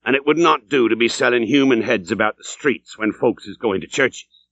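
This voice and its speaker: A man reading aloud and voicing a sly, teasing old innkeeper with a rough seafaring dialect drawl. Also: mid-range voice, moderate pace, fairly bright tone, no room sound, dull audio, lightly acted.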